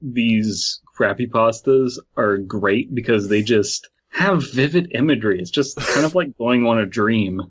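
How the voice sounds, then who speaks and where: A man's voice: mid-range voice, unhurried, few pauses, slightly rough, highs cut off. A man co-hosting a comedy podcast, joking and reading, sometimes stumbling over his words. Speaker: podcast co-host. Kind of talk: casual comedic banter and reading.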